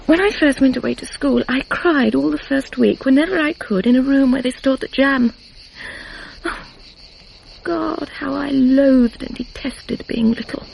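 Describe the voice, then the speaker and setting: A woman's voice: high voice, a little slow, vocal fry, darkish tone, heavily acted, poor audio. An actress playing an emotional, confessional British woman in love, with a breathless, intense manner in a radio drama. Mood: anguished, confessional. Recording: faint noise, insects chirping, archive radio drama.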